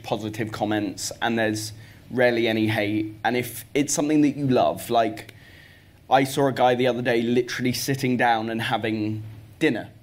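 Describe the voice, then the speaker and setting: Earnest young man on a panel. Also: mid-range voice. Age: young adult. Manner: earnest, easygoing, run-on sentences. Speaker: panel guest. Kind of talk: panel discussion.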